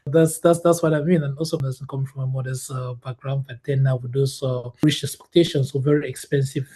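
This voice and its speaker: A man in his thirties from Cameroon, a guest telling his life story in a relaxed interview, full of fillers and restarts. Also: medium pitch, brisk, breathy edge. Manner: hesitant, many fillers and restarts, laughs often.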